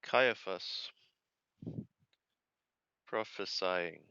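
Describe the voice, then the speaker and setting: Man, Bible reader and translator, unhurried deliberate delivery, smooth clear tone, careful enunciation, muffled close recording. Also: low-pitched, sharp tone, dry recording. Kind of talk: reading Greek scripture aloud and translating phrase by phrase with commentary.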